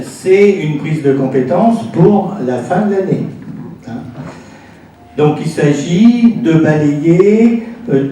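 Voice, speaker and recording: A man presents informally to a local council as its president, explaining things with frequent pauses and tag words. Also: on the high side, dark tone. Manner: explanatory, often pausing and adding "hein" as a tag. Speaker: intercommunal council president addressing a meeting. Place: indoor council meeting room. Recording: echoey room, distant mic, faint noise.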